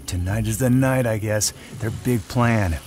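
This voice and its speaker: Man acting a low-voiced, cynical hard-boiled sniper. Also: unhurried, background noise, lightly acted. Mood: grim, focused. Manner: terse, cynical, boastful. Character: hard-boiled Hydra sniper, cocky cold-blooded male killer. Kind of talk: first-person scripted narration of a motion comic. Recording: loud music under the voice.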